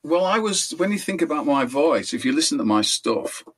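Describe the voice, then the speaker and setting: An older man, a musician being interviewed, telling rambling anecdotes in a relaxed way and correcting himself as he goes. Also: normal speed, breathy edge. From England. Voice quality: high voice. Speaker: musician guest.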